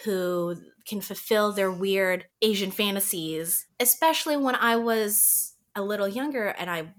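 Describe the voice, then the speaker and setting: A woman's voice: mid-range voice, unhurried. A young woman from Canada, a podcast guest chatting casually, often laughing and full of fillers.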